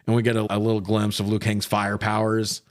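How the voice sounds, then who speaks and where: A man hosting a casual film review podcast, recapping in an animated, profane way with frequent laughter. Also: lowish voice, brisk. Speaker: podcast host reviewing films. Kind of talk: casual recap and banter between friends.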